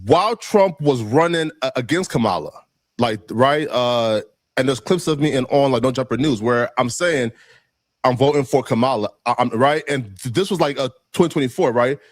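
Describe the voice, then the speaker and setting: Male interview guest, mid-pitched, dry sound, rambling, filler-heavy, defensive. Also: moderate pace, dry recording.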